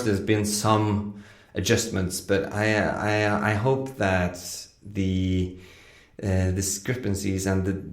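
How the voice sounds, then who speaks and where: A man explaining his sound design work in a casual, halting interview style with frequent hesitations. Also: sits low, gravelly. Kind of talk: casual behind-the-scenes explanation.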